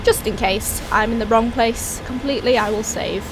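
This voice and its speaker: A woman with a cold and a raspy, hoarse voice, chatting casually as she plays a video game and thinks aloud. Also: a little high, moderate pace. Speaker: let's play host. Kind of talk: casual gameplay commentary. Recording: voice over steady game engine noise.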